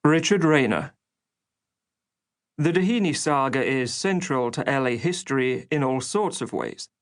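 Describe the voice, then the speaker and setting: A man's voice: mid-range voice, expressive, a little slow. A man narrating an oral history audiobook in a scripted documentary style.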